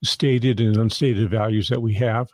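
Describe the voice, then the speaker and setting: A man's voice: fairly low pitch, steady tone, unhurried, darkish tone, no room sound, studio quality. A man hosting a podcast interview, talking in a friendly, rambling way and often restarting his sentences. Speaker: podcast host.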